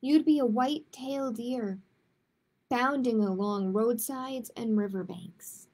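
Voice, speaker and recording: A woman hosting a children's storytime, reading picture books aloud and chatting to families in an expressive way. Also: fairly high pitch, slow. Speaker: children's librarian, storytime host. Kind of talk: picture book read-aloud and chatty announcements to young families.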